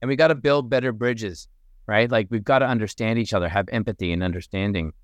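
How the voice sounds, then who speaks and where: A man's voice: fairly low pitch, fast, dry recording. A man from Morocco speaking as a podcast guest, making an earnest, persuasive case. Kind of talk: podcast conversation.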